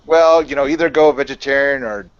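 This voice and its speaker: A man's voice: medium pitch, steady tone, fairly quick, clear, resonant tone. Man steering the chat on a lo-fi call. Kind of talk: casual chat.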